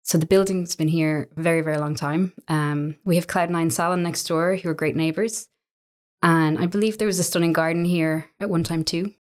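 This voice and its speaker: An Irish woman in her thirties, a business owner chatting as an interview guest, relaxed and full of little fillers and restarts. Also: fairly low pitch, normal speed. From Ireland. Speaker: guest, co-owner of a coworking space. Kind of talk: relaxed conversational storytelling.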